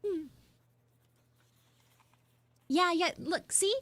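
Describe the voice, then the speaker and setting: A woman voicing a sassy, flustered high school tsundere frog girl in a casual, blunt, rambling live audio roleplay. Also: extremely slow, a bit bright, no echo, heavily acted. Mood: nervously dismissive. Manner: blunt, snarky, swearing, rambling asides.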